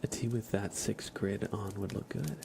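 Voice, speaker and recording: Gravelly, low-pitched man, softly half-whispering. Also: normal speed, noisy background. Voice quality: soft, breathy, often slipping into whisper. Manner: relaxed, informal, uses y'all. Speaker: ASMR livestream host. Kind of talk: casual ASMR stream chat.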